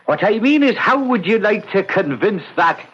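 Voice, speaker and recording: A man's voice: high voice, sing-song, moderate pace, a bit bright, breathy edge, exaggerated voice. An actor playing a sly old Irish ghost uncle in a radio drama, wheedling and impatient, repeating his words. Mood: sly, coaxing. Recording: noisy dull old radio recording.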